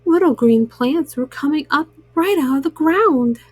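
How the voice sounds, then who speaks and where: A woman's voice: high voice, expressive, unhurried, darkish tone, dry recording. A woman storyteller reading a children's storybook aloud.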